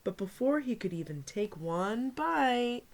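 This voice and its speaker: Expressive woman reading a picture book. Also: on the high side, slight background noise. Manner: pauses for asides to young listeners. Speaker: teacher reading aloud to pupils. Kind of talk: expressive picture-book read-aloud for children.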